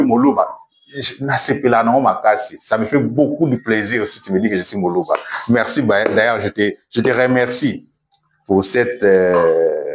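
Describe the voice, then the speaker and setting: A man with a Congolese accent hosting an online commentary show, speaking in a declamatory way with emphatic repetition. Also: medium pitch. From Democratic Republic of the Congo. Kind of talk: animated political commentary to camera, mixing French and Lingala.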